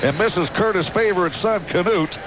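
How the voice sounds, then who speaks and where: A man with an American accent calling live football play-by-play on the radio, with animated, descriptive calls. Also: high voice, a little fast, highs cut off. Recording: loud stadium noise behind. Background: loud stadium ambience.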